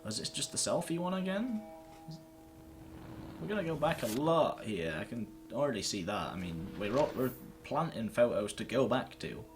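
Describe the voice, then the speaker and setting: A man's voice: medium pitch, sing-song, normal speed, over music. A man hosting a let's-play, thinking aloud in a casual, sweary way as he comments on the game. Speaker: gaming video host.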